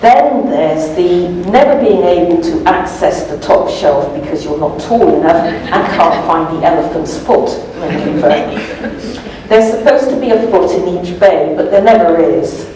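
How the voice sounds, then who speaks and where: A woman author reading her comic novel aloud to a live event, with a dry, deliberate delivery. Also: on the low side, animated, warm tone. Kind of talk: live storybook reading, comic first-person narration. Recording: very echoey, distant mic, noisy room.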